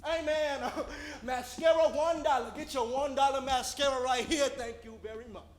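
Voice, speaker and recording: Weathered street hustler, very high male voice, pleading, stammering folksy patter, stage monologue, faint noise behind. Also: steady tone, moderate pace. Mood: hawking, pleading. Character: weathered homeless male panhandler, needy, folksy, jittery hustler. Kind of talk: theatrical solo dramatic monologue. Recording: roomy echo, faint background noise.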